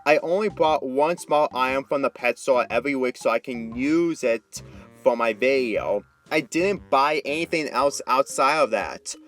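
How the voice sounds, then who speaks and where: A man's voice: medium pitch, normal speed, a bit bright, natural voice. Man reading as an earnest young talking dog over music. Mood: defensive pleading. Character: excitable young male talking pet dog, reassuring. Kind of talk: amateur fan fiction story reading.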